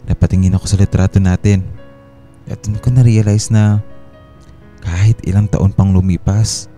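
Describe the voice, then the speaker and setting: An actor playing a remorseful, devoted husband in a Filipino radio drama, soft, sincere and apologetic. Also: male voice, sits low. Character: remorseful, devoted husband and father pleading forgiveness.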